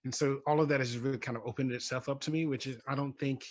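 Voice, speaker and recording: A man who writes plays, speaking unscripted as a panel guest, reflective and searching for his words in halting phrases. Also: mid-range voice, steady tone, crowd noise.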